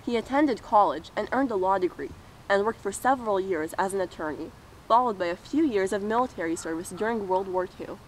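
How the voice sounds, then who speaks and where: A woman's voice: medium pitch, animated, brisk, low-quality recording. Young woman narrating, faint noise. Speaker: co-presenter. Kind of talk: scripted biographical narration.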